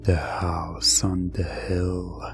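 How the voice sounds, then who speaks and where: A man narrating a scripted hypnosis roleplay in an ASMR style, telling the story with drawn-out pauses in a breathy voice that often drops toward a whisper. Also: low voice, monotone. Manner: slow, drawn-out second-person storytelling with frequent pauses. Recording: music under the voice. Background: background music.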